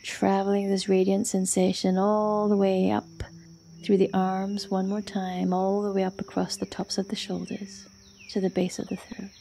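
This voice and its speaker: A woman leading a guided yoga nidra meditation as an instructor, speaking softly and soothingly in short phrases with long pauses. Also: mid-range voice, monotone, vocal fry, slight background noise.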